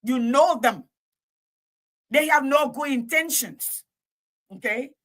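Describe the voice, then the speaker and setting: Woman host, slightly high, bright and forceful, emphatic repeater, live call-in monologue. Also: slow pace. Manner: emphatic, repeats phrases, stretches key words. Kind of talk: impassioned talk-show monologue.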